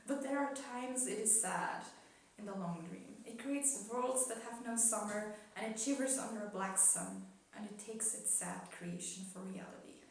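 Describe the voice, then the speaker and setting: A woman playing a gentle, solemn cosmic spirit in a measured, wistful dramatic reading of a poetic dialogue. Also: medium pitch, natural voice. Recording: echoey room, distant mic, faint noise.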